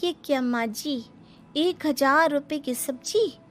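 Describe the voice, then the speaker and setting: A stubborn, thrifty young village wife as a woman narrator plays her in a cartoon. The voice is pitched up high, emphatic and protesting. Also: slow, slight background noise. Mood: indignant.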